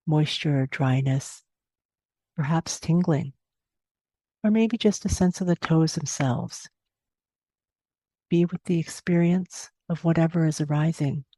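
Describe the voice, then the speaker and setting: A woman leads a guided meditation in a dark, relaxed voice with a creaky edge. She gives gentle, unhurried instructions and leaves long pauses between phrases. The recording is close and dry, with no room sound. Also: low-pitched, dry recording, poor audio. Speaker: meditation teacher. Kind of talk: guided body scan meditation narration.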